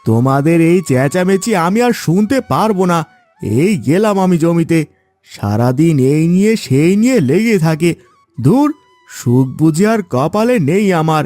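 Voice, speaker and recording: An exasperated cartoon farmer husband played by a man, with a high voice that moves in wide, animated swings. He complains theatrically and emphatically, and the recording is dry and close. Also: normal speed, no room sound, soft background music. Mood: fed up. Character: exasperated village farmer husband with quarrelling wives. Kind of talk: cartoon fairy-tale voice acting.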